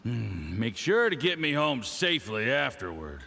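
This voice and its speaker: An actor playing a middle-aged, condescending scheming diplomat in a game, with a patronizing drawl. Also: male voice, mid-range voice, sing-song, unhurried, creaky, bright, forceful tone, heavily acted. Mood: tipsy. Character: smug scheming foreign diplomat man, condescending. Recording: game audio with long reverb.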